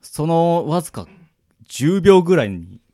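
Animated, higher-voiced man hosting a radio show. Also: measured pace, no echo, studio quality. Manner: animated, acts out quoted lines. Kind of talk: casual radio chat, comic anecdote telling.

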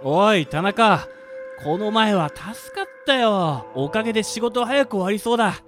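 A man's voice: sits high, lively intonation, moderate pace, a bit bright, faint music behind. A man voicing a hearty coworker who talks bluntly and casually, in an amateur radio drama.

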